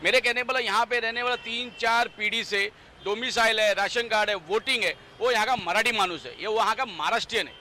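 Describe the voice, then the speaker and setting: Man, street interviewee, firm and argumentative, bright tense tone, some traffic behind. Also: sits high, brisk. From India. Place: outdoors on a city street.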